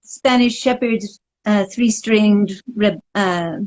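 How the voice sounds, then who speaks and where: A woman's voice: medium pitch, takes it slowly, darkish tone. A woman in her sixties from Montreal, a guest speaking in a wry, thoughtful way in a casual interview over a video call from her living room. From Montreal, Canada. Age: 60s.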